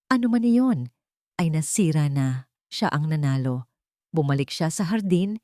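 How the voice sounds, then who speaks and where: A woman's voice: deep voice, dark tone, no echo, clean studio sound. A woman reading a scripted story as a dramatic narrator.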